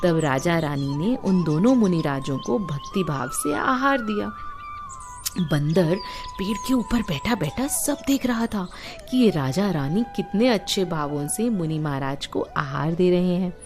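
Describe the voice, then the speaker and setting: Animated, unhurried Indian woman storyteller. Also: on the low side. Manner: explanatory, asks the listeners questions and glosses terms. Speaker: storyteller for children. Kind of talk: animated moral storytelling for children. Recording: music behind the voice. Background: music.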